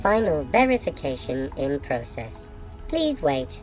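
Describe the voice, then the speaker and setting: A woman's voice: sits low, sing-song, measured pace, darkish tone, faint music behind, poor audio. A woman playing an impassive computer in an audio drama, making clipped, emotionless procedural announcements. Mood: cold, procedural. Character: impassive female computer processing consent for experiments.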